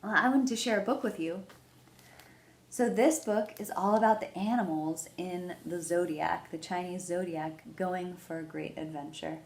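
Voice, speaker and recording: A woman hosting a children's storytime, explaining things simply and gently and reading a picture book aloud. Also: fairly low pitch, fairly even intonation, normal speed. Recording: roomy echo, background noise.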